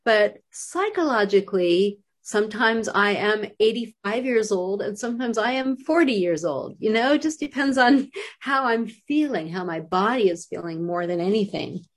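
A woman's voice: mid-range voice, animated, normal speed, few pauses. A sixty-year-old woman co-hosting a casual chat, friendly and curious, asking follow-up questions. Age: 60s. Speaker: co-host.

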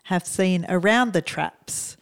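A woman's voice: lowish voice, slow pace, vocal fry, no room sound. A woman co-hosting a podcast from home, chatting in an upbeat way and laughing easily. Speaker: podcast co-host. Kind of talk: casual podcast chat. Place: lounge room at home.

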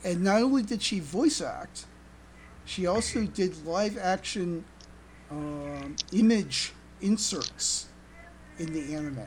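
Halting podcast host, noisy recording. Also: mid-range voice, expressive. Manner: halting, stammering, repeating words. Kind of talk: casual panel discussion. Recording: noticeable background noise.

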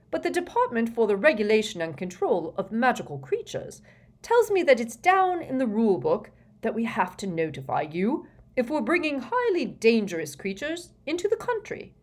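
A woman's voice: a little high, darkish tone, voice pushed up. Woman voicing a fretful, blustering older government wizard. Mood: breezy. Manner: fretful, hurried, emphatic.

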